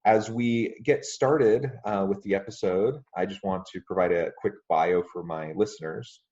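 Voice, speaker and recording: A man hosting a podcast interview, speaking in a friendly, measured way with frequent fillers. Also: sits low, fairly even intonation, darkish tone, lo-fi sound. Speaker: podcast host.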